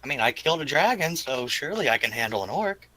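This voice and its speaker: A man's voice: mid-range voice, sing-song, fast, pushed and bright, dry recording, poor audio. A man playing a tabletop roleplaying game, talking in casual, cocky, informal banter.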